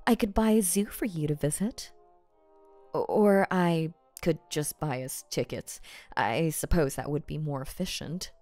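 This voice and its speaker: Woman acting as a rich older yandere gf, lowish voice, really slow n coy, stammers a bit, doting asmr roleplay w music behind. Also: a little mellow, dry recording. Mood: doting, eager. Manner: coy, halting, stammering, cooing pet names.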